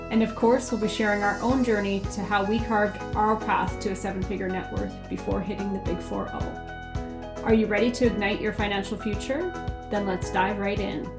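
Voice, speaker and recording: A woman hosting a podcast, reading an intro and welcoming her guest in an upbeat, announcer-like way. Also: medium pitch. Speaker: podcast host. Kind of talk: scripted podcast intro and interviewing.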